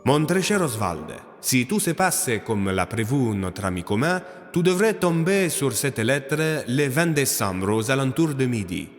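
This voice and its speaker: A man playing an affectionate friend voicing his letter in an audio drama, measured and expressive, with long reverb and music. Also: medium pitch. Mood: affectionate, mysterious. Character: male friend reading his own letter aloud, affectionate.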